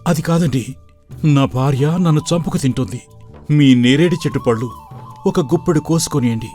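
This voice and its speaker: A man's voice: mid-range voice, normal speed, mellow, faint music behind, lightly acted. A man voicing a hesitant young husband hero who reasons and pleads, in a storybook cartoon. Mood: reluctant.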